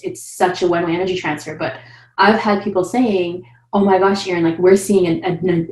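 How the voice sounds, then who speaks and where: A woman in her thirties, a coaching client, talking in an animated, upbeat way over a video call from a room at home. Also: fairly low pitch, roomy sound, poor audio. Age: 30s. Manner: animated, upbeat, says she is loud. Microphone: video call webcam.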